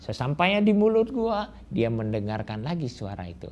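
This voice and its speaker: A man telling a folk tale to children, slow and drawn-out, with rhetorical questions. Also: mid-range voice, sing-song, a little mellow. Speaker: storyteller. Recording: dull lo-fi recording with faint hiss.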